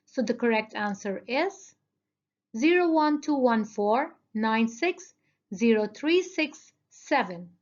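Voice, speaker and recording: A fairly high-voiced woman teacher reviews listening answers at a very slow, careful pace. She speaks directly to her students. The recording is dull and lo-fi. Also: animated. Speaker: English teacher.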